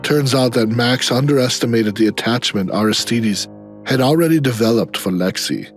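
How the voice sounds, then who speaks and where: A man's voice: medium pitch, animated, moderate pace, close to an everyday voice. A man playing the narrator of a drama, telling the story in a scripted style. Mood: narrating. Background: faint music.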